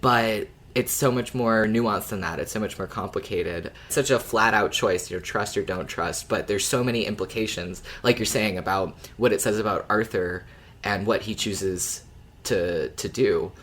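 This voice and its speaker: A woman's voice: very deep voice, moderate pace, a little hiss or hum. A woman co-hosting a podcast in casual discussion, with thoughtful, tentative phrasing. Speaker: podcast co-host.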